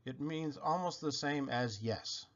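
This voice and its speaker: A man reading a children's picture book aloud in an expressive, playful way with animated emphasis. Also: medium pitch, measured pace. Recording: dull, lo-fi sound.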